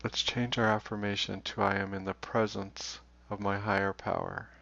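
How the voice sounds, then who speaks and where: A man leading a guided meditation in a slow, deliberate way, leaving long pauses. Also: fairly low pitch, little pitch movement, gravelly, sharp tone. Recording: dull, roomy recording.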